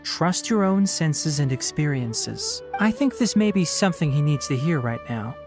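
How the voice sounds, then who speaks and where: An actor playing a polite, earnest young ranger in a video game, speaking thoughtfully over game music. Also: male voice, fairly high pitch, sing-song, moderate pace.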